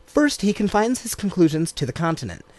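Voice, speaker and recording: A man reading a political text aloud as an audiobook, in a measured, deliberate cadence. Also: high-pitched, very animated, a little mellow, no room sound. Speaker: audiobook reader.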